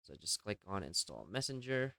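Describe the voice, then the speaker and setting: Low, clear-voiced man giving a tutorial. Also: big pitch swings, moderate pace, pushed and bright, no echo, studio quality. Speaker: tutorial presenter. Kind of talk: step-by-step software tutorial voice-over.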